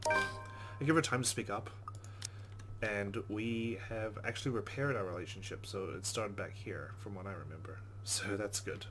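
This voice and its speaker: A man's voice: fairly low pitch, normal speed, music in the background. A man hosting a let's play, giving casual game commentary and reading the game's text aloud.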